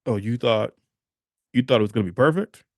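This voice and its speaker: A man's voice: medium pitch, brisk, dry recording, clean studio sound. A man hosting a motivational podcast, talking emphatically, repeating phrases and checking in with "okay?". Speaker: podcast host.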